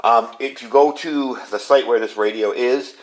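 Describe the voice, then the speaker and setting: Male product reviewer, medium pitch, casual hands-on demo delivery, enthusiastic and informal explainer. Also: moderate pace, poor audio. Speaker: ham radio product reviewer.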